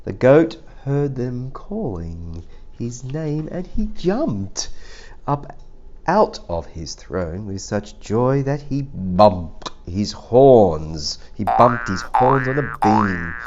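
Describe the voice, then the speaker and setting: Animated, unhurried male storybook reader, slightly muffled. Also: medium pitch, vocal fry. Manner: expressive, drawn-out delivery with sound effects and asides. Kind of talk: animated rhyming story read aloud with playful asides.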